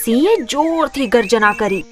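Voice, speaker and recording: A woman's voice: fairly high pitch, lively intonation, moderate pace, loud music behind the voice. A woman narrating scripted moral stories for children.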